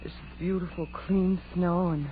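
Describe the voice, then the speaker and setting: A woman's voice: lowish voice, steady tone, a little slow, natural voice. An actress playing a prim, sensible young woman in a 1930s radio drama, earnest and a little reproving. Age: young adult. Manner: earnest, reproving, matter-of-fact. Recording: tinny, noisy 1930s radio recording.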